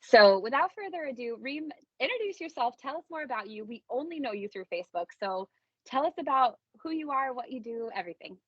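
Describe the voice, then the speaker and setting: A woman's voice: high voice, lively intonation, brisk, slightly rough, no echo, lo-fi sound. A woman hosting a casual podcast interview, speaking in an informal, emphatic and gushing way. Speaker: podcast host.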